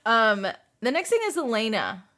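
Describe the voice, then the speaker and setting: A woman's voice: fairly high pitch, big pitch swings, moderate pace, pushed and bright. Casual woman podcast host. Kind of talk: casual book discussion chat.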